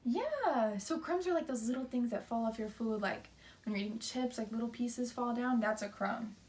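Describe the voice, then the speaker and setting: A woman with a fairly high voice teaches in an interactive read-aloud style for children. She explains things simply and checks in with questions. The microphone sits a little way off. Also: animated, moderate pace, a little mellow, recorded from a distance.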